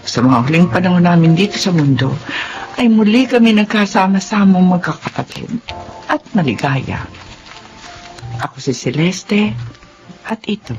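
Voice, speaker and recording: A woman's voice: on the low side, lively intonation, normal speed, long pauses, darkish tone, muffled recording, faint music behind, lightly acted. Woman acting an emotive older Filipino mother. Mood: tender. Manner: emotive, earnest. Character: aging, yearning, forgiving mother and youngest sister.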